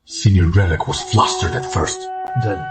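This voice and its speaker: A man playing an observant, suspicious courtier in an animated fantasy dub, speaking deliberately. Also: deep voice, natural voice. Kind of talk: English dub of animated fantasy motion comic. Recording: echoey, loud music bed.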